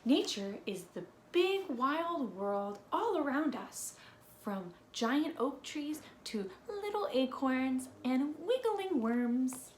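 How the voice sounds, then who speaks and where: Slow, high-voiced woman reading a children's storybook. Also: sing-song. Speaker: read-aloud presenter. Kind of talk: expressive children's storybook read-aloud. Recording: echoey room with faint noise.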